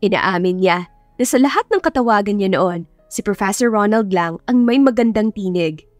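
A woman narrates a scripted romance as an audiobook reader. Her voice is mid-pitched and recorded dry and close, with music playing underneath. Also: lively intonation, normal speed, no echo.

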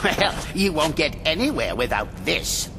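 A pompous older asylum boss in a video game, high and animated for a man. He sounds indignant and sharp, in long reverb. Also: unhurried. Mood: indignant defiance. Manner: pompous, defiant. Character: indignant, officious older male asylum director. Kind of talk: video game cutscene acting. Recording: long reverb, faint rumbling noise.

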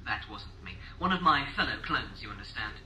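An actor playing a deferential, scheming clone scientist in a science-fiction audio drama, speaking politely and formally. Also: male voice, fairly low pitch, expressive, a little fast, sharp tone, slight background noise, low-quality recording. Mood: servile, hesitant.

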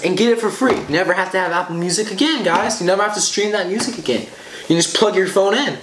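A teenage boy chatting casually to viewers, enthusiastic and rambling, often repeating himself, recorded indoors a short distance from the camera. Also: fairly high pitch. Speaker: vlogger. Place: small room indoors at a table. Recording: roomy echo, clear background noise.

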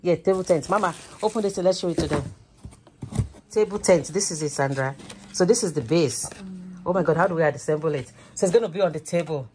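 A woman in her fifties talks to her viewers through a phone held at arm's length, chatty and thinking out loud. Her voice sits a little low, and clear background noise runs under the recording. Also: fast. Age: 50s. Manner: chatty, thinking aloud, laughs easily. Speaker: vlogger. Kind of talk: casual chatty vlog.

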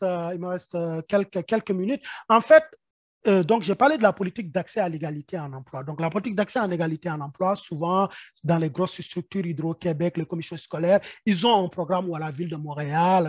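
High-pitched man stammering through a webinar. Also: slightly rough, no echo. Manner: many repeated stammered words, thinking aloud. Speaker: project officer leading a workshop. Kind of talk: webinar presentation. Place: indoor room. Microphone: video call webcam.

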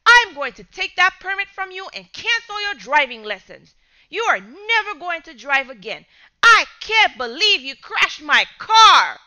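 A woman voicing an angry middle-aged father who shouts and scolds in a storybook reading. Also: very high voice, lively intonation, moderate pace, few pauses, pitched above the performer's own voice, exaggerated voice, poor audio.

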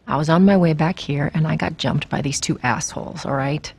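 A woman's voice: low-pitched, a little fast, darkish tone, husky. A woman playing a tough, wry smuggler in a video game, blunt and streetwise, with an American accent. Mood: defiant. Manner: blunt, casual, streetwise, swearing. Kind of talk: naturalistic video game dialogue.

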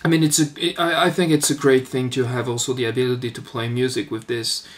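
A man giving an informal demonstration of his electronics project, speaking in a hesitant, halting way. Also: medium pitch, little pitch movement. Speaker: electronics hobbyist presenting own board. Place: indoors at a workbench. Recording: noisy webcam-style audio with clicks.

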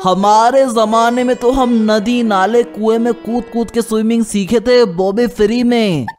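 A penny-pinching cartoon father, played by a man, middle-aged and irritable. His voice is high for a man, grumbling and sarcastic, with faint music behind. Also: steady tone, a little slow.

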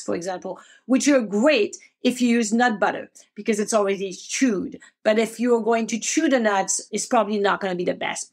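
A woman's voice: mid-range voice, gravelly. A middle-aged woman with a French accent, hosting a podcast and explaining things simply and casually, often checking in with the listener. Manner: friendly, explains simply, asks listeners "make sense?". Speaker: podcast host and acupuncture teacher. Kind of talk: casual teaching monologue.

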